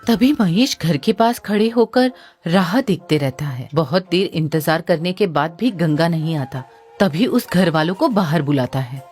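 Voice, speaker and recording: A woman's voice: lowish voice, lively intonation, a little slow, a little mellow. Female story narrator over music. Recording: music under the voice.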